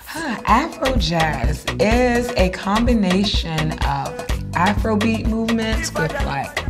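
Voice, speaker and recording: A woman's voice: mid-range voice, expressive, slow, over music. A young woman, a dance instructor, telling her story in a casual interview, upbeat and chatty with frequent fillers. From United States, raised by Ghanaian parents.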